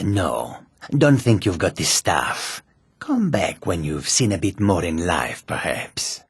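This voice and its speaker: Fantasy game cat-man trader: very rough, breathy rasp, slow delivery, wary but courteous. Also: low voice, dry recording, clean studio sound, exaggerated voice. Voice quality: raspy, gravelly. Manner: deliberate, formal, speaks of self in third person. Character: wary, courteous male cat-folk desert trader and guard. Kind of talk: video game character voice lines.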